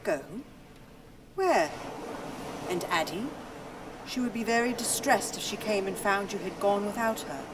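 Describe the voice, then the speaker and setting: A woman performs a wistful older wife in a radio drama, calm and detached. Her mid-pitched voice is measured, heard from off the mic amid noisy ambience. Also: far from the mic, close to an everyday voice. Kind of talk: radio play acting.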